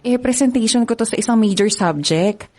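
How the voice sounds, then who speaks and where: A woman's voice: on the high side, a little fast, a little mellow, natural voice. An actress playing a kind, studious young college woman who is shy and eager to help in a radio drama. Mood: sweet. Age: young adult.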